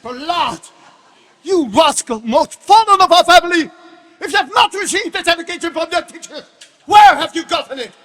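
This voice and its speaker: A tyrannical demon king in a staged scripture drama. His voice sits strikingly high for a man and cuts through sharp and forceful, theatrical and full of menace. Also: lively intonation, moderate pace. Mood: furious. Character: raging male demon king and tyrant father.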